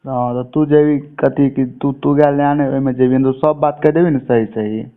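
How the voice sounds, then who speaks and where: A man's voice: mid-range voice, fairly even intonation, unhurried. Tinny phone-line Bihari man, coarse-mouthed. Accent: Bihari (Mithila). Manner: coarse, swearing often. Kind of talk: informal phone conversation. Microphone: telephone. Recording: tinny narrowband phone call recording.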